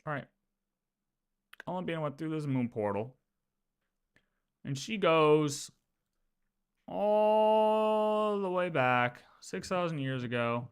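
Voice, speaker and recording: A man livestreaming in a casual, animated way, talking through lore with viewers, repeating phrases and often checking that they follow. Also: fairly high pitch, slow, pauses often, clear, resonant tone. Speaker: streamer.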